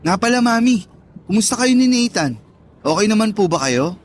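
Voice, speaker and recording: A man playing an affectionate grown son and older brother in a radio drama, expressive, boyish and respectful. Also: high-pitched, moderate pace, slight background noise. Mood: respectful, chatty. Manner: expressive, pleading, boyish, respectful to his mother. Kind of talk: Tagalog radio drama acting.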